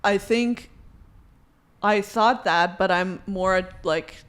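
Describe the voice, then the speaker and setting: Brief: young woman co-host, casual podcast chat, filler-heavy, quick to laugh. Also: medium pitch, a bit bright, clean tone. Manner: chatty, says "like" often, laughs easily.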